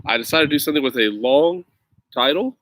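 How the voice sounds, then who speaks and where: A man's voice: a little high, animated, fairly quick, fairly bright tone, dry recording. A man with an informal, chatty, easygoing way of talking, hosting a children's story time and reading aloud expressively, recorded outdoors on a laptop. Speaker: reader of children's stories, teacher-like host. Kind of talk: casual chat and expressive picture book read-aloud. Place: outdoors in a grassy yard on a windy day. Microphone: laptop at about arm's length.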